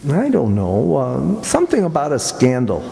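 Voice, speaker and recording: A man's voice: medium pitch, wildly swooping pitch, moderate pace, vocal fry, warm tone, heavily acted. A man playing a bewildered, hesitant young English husband in a stage radio mystery. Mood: confused. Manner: hesitant, baffled. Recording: echoey hall, live stage performance.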